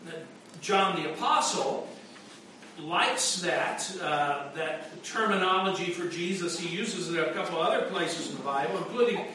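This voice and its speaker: Male pastor, high and bright, chatty sermon with fillers, heard from afar in a ringing church. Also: lively intonation, measured pace, slight background noise. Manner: conversational preaching with frequent restarts and fillers. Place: church sanctuary. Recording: echoey church from a distance.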